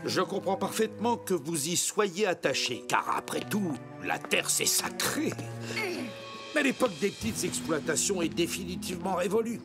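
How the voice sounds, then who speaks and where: Pompous, scheming older land baron. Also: male voice, high voice, lively intonation, normal speed. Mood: self-assured. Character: scheming, greedy land baron, older retired male seafarer. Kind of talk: French cartoon dub acting. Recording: loud music behind.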